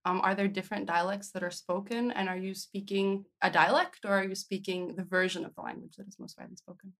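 A woman's voice: medium pitch, sing-song, fast talker, a bit bright. A woman interview host speaking in a thoughtful, curious, conversational way. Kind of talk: conversational interview with scripted introduction.

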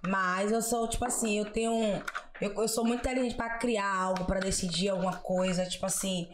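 A woman's voice: medium pitch, steady tone, fairly quick, background noise. Chatty Bahian woman in an echoey room. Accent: Bahian Portuguese. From Salvador, Bahia, Brazil. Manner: chatty, playful, laughs at herself. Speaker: guest, comedy influencer. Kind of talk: casual interview chat.

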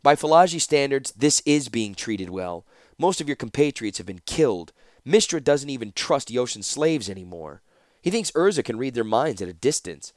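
A man voicing a cruel, breezily sardonic woman torturer in a fantasy audiobook, mocking and calmly menacing. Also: mid-range voice, normal speed, dry recording, studio quality, voice pushed up, close to an everyday voice. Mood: dry, sardonic.

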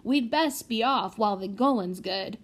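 A woman voicing a lively, excitable, alert young boy in a children's storybook. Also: a little high, unhurried. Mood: urgent.

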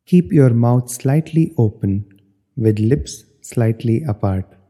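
Very dark-voiced man guiding meditation, long reverb. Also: mid-range voice, animated. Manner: measured, instructional phrasing in short pauses. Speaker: meditation instructor. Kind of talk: guided meditation narration.

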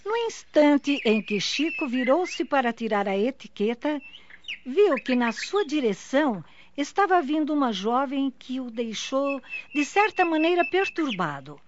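A woman's voice: high voice, sing-song, unhurried. A woman reading scripted narration for a radio drama. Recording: dull lo-fi, noisy background.

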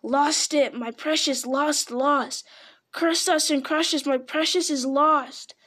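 A woman's voice: high voice, measured pace, a bit bright, pitched above the performer's own voice. A woman voicing a wretched male cave creature who hisses, shrieks and wails over a lost treasure. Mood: wailing. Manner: hissing, shrieking, whining.

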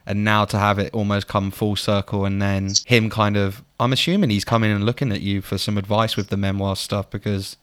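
Male podcast host, smooth voice, dry close sound, short encouraging replies. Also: on the low side, flat delivery, fairly quick, few pauses, fairly bright tone, no room sound. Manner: short encouraging backchannels and questions. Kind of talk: interviewing.

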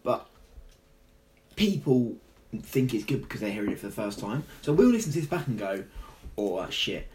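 A teenage boy with a croaky voice, rambling through stories full of restarts in a casual chat with friends. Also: on the low side, big pitch swings, moderate pace, pauses often, darkish tone. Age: teens. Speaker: podcast co-host.

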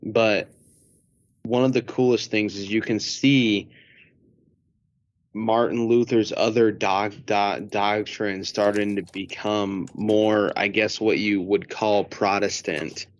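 A man of about thirty speaks very slowly in a fairly low voice. He searches for words in casual podcast discussion. The audio is dry and a bit dull. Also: steady tone, dry recording. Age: 30s. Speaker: podcast co-host or guest. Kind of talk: casual theology podcast chat.

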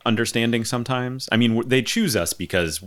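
A man's voice: medium pitch, a little fast. A man hosting a casual podcast, chatting easily and reading out listener topics and news items. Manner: relaxed, conversational, reads out topics. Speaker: podcast host.

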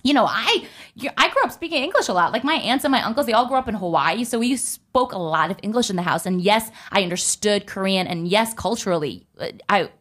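A woman's voice: mid-range voice, expressive, fairly quick, a bit bright. A young woman telling a personal story to her viewers in a chatty way, full of "like" and "you know". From United States.

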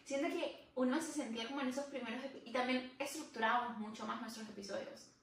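A woman co-hosting a podcast in casual chat, reflective and quick with agreeing murmurs. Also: on the high side, fairly even intonation, vocal fry, distant mic. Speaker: podcast co-host. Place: room where the podcast is filmed. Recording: very echoey room, mic set back, faint noise.

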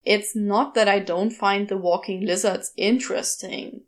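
A woman's voice: mid-range voice, fairly even intonation, unhurried, natural voice. A woman voicing a grumbling, sardonic male demon in a velociraptor's body, rambling and exasperated, in a storybook reading. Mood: dismissive. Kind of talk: storybook character voice in audio fiction.

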